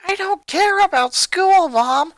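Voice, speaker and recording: A woman's voice: extremely high pitch, expressive, slow, no room sound, pitched above the performer's own voice, full character voice. A woman voicing a headstrong, guilt-ridden sporty girl in a story reading. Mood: upset, guilty.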